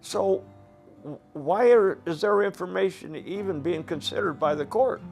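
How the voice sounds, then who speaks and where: Raspy older man, plain-spoken. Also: high-pitched, unhurried, a little mellow, faint music behind. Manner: plain-spoken, indignant, rhetorical questions. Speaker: former coal miner interviewee. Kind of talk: documentary interview.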